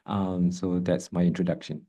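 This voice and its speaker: A man's voice: low-pitched, level tone, moderate pace, mellow. A man with a Singaporean accent, a guest speaker introducing himself with dry self-deprecating humor.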